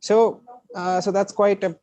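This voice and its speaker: A man hosting an online live stream and informally introducing a speaker, with a halting, hesitant delivery. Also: sits high, flat delivery, mellow, no echo. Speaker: conference host introducing a speaker. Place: online video call.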